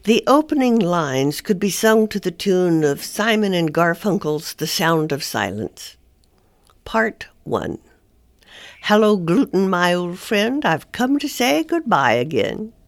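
A woman reads her own humorous column aloud with wry, self-mocking timing. Her pitch sits on the low side but swings widely as she goes. The sound is dry and close, with no room around it. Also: big pitch swings, takes it slowly, dry recording. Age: older. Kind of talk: reading a humorous personal column.

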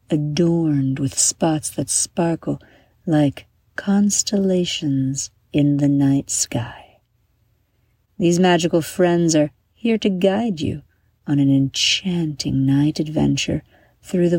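A woman narrating a scripted bedtime meditation as a guide, soothing and unhurried, letting her phrases trail off into breath. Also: low voice, dark tone, slightly rough, no echo.

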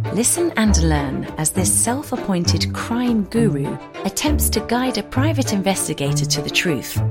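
A woman reading scripted promotional narration as an announcer. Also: mid-range voice. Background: music.

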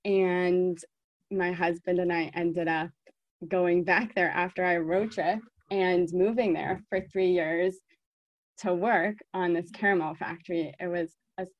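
A woman's voice: fairly low pitch, fairly even intonation, unhurried, dry recording, dull audio. A woman in her thirties with an American accent, talking solo to her podcast listeners with an emphatic delivery that repeats words for stress. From born in South Africa, raised in the United States. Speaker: podcast host.

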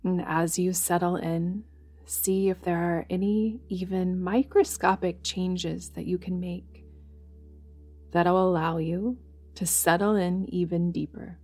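A woman leading a guided yoga nidra meditation in a gentle, soothing manner, leaving long pauses between phrases. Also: on the low side, fairly even intonation. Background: background music.

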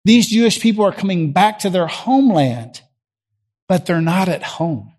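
A middle-aged man with a Southern US accent preaches from a church platform, his voice sitting fairly high for a man. He talks to the congregation the way he would in conversation, asking them questions. He swings from loud emphasis down to quiet. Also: sing-song, normal speed. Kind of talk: sermon.

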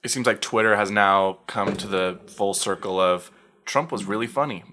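A man's voice: fairly low pitch, moderate pace, background noise. A man co-hosting a podcast in casual chat, blunt and joking, with what he calls a smoker voice. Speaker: podcast co-host.

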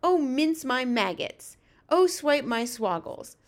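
A woman voicing an excitable, dramatic male giant in a storybook reading, exclaiming in ungrammatical, folksy speech. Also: fairly high pitch, normal speed. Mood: anguished, furious. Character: excitable big-eared male giant, dream catcher, dramatic.

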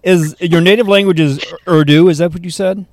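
A man hosting a podcast interview, eager and quick to exclaim, often restarting his questions. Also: sits high, fairly even intonation, no echo, studio quality. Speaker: podcast host. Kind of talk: casual interview.

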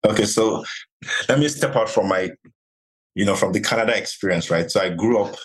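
A young man from Nigeria, a podcast guest and real estate investor, answering in a measured conversational way with many "you know" fillers. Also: fairly low pitch, slightly rough, poor audio.

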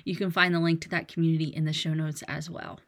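A chatty woman in her thirties talks through her solo podcast in a slightly low voice, a little nasal from a cold. She is lively and jokey, tossing in playful asides as a money coach speaking to listeners. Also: fast.

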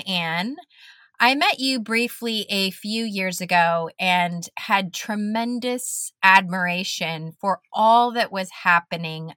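Slow, bright effusive woman host. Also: mid-range voice, dry recording. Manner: effusive, praising, drawn-out phrasing. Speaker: podcast host. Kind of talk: podcast interview hosting.

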